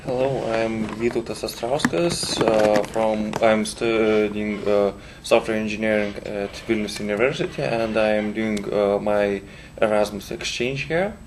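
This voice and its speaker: An exchange student from Lithuania introduces himself with many pauses. He speaks slowly in a lowish voice with a gravelly edge. Faint background noise sits under him. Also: fairly even intonation. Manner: hesitant, halting. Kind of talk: self-introduction.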